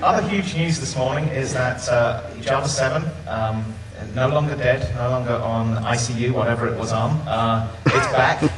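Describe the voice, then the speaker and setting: A man's voice: medium pitch, moderate pace, few pauses. A man co-hosting a live podcast panel in front of a conference audience, polling the room and running through the news. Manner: runs the discussion, polls the audience. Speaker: podcast co-host. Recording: roomy hall, camera far off, noisy.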